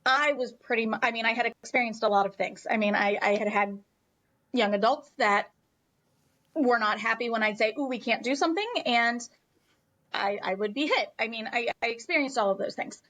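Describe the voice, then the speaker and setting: A woman's voice: a little high, brisk, fairly bright tone. An American woman telling a personal story as an interview guest in a candid, emphatic, conversational way.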